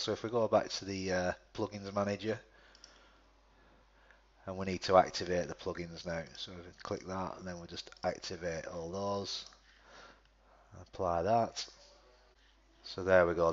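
Man, deep monotone voice, step-by-step tutorial talk, muffled lo-fi recording. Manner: step-by-step, many restarts and fillers. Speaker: tutorial presenter. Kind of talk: screen-recorded software tutorial.

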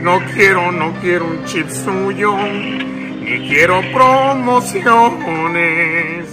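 A man putting on a boozy, courteous old-time male crooner, drawling politely and sometimes crooning, in a prank phone call. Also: high-pitched. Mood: singing, pleading. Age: young adult. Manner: slow, drawling, polite, breaking into song. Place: large public lounge indoors. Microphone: camera at arm's length. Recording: music playing behind.

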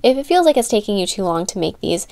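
A woman's voice: medium pitch, big pitch swings, quick pace, vocal fry, a little mellow. A woman giving a chatty, informal how-to voice-over, correcting herself as she goes, with a voice slightly giving out. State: slightly losing her voice. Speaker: nail art tutorial host. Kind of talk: casual how-to voice-over narration.

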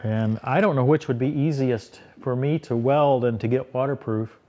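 A middle-aged man talks through a tractor repair in a workshop, thinking aloud and often restarting his sentences. His voice sits at an ordinary middle pitch. The camera is close and the tone is casual and plain. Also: normal speed, dark tone, dull audio. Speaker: tractor repair channel host. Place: workshop beside a tractor, indoors.

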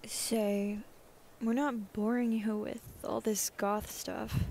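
A woman's voice: mid-range voice, flat delivery, slow pace, a little mellow, a little hiss or hum. A woman playing a laid-back, friendly young goth student with an easygoing manner in an ASMR roleplay.

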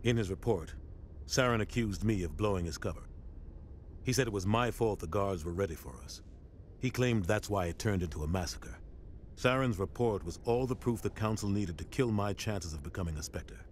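Actor as low-voiced, weary military captain. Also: male voice, a little hiss or hum, lightly acted. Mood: bitter, regretful. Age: middle-aged. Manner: measured, grave. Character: weary, principled middle-aged male military captain. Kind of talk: video game drama acting.

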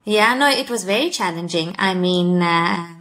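A woman's voice: on the low side, expressive, measured pace, a bit bright. A woman in her thirties from Sweden, a guest on a video call interview, speaking thoughtfully with many fillers. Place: indoor room by a window. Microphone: laptop or webcam mic on a video call.

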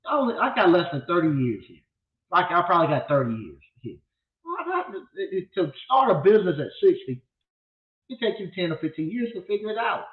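A man's voice: high-pitched, very animated, a little fast. A man in his fifties hosting a casual livestream from a small home studio, talking bluntly in a loose, rambling way with lots of restarts. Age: 50s. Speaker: appliance repair instructor and channel host. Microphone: desk mic on a boom arm. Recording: echoey room, tinny phone-like sound.

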